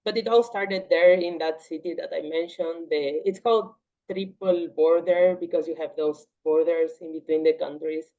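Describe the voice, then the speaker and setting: A young woman from Paraguay whose first language is Portuguese, chatting casually as a podcast guest with a relaxed, talkative manner. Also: on the low side, moderate pace. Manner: relaxed, chatty, with frequent "like" and laughs. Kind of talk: casual interview chat. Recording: slightly dull, lo-fi audio.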